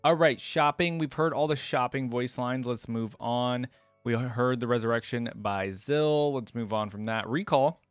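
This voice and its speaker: A man's voice: medium pitch, steady tone, unhurried, smooth, no room sound, faint music behind. A man hosting a reaction video, giving casual off-the-cuff commentary as he goes.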